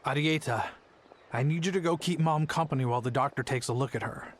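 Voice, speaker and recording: An actor playing a nervous, gentle merchant father in a video game, hesitant and placating. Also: medium pitch, quick pace, slight background noise, close to an everyday voice. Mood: reassuring.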